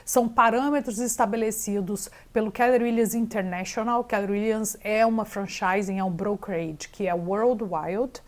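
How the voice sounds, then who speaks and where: A woman's voice: medium pitch, fairly even intonation, normal speed, hardly any pauses. A Brazilian woman, a real estate agent, chatting in an interview with a Brazilian accent and often mixing English words into her Portuguese. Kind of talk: casual interview chat.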